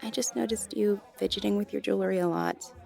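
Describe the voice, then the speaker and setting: A woman's voice: medium pitch, fairly quick, creaky, darkish tone, soft background music. A woman playing a friendly, flirtatious gallery worker in an intimate audio roleplay, soothing and teasing as she talks straight to the listener. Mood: gentle concern.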